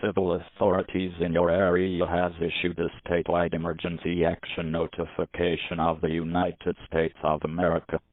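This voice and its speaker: Male emergency announcer, synthetic and formal, very low pitch, narrow phone-like audio. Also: no room sound. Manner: flat, formal. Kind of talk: scripted emergency alert announcement. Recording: tinny phone-like band-limited audio.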